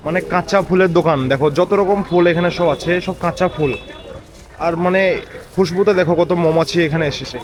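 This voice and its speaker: A man with a Bengali accent and a cold, chatting casually and repetitively as he vlogs. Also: high voice. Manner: rambling, repeats phrases. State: has a cold. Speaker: vlogger, construction site labour supervisor. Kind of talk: casual vlog chat to camera. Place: outdoors on busy streets and a building site. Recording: background noise from street and construction site.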